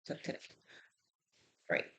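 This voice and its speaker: A woman working as a healthcare intake interviewer, asking health history questions conversationally and methodically, checking each answer. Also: takes it slowly.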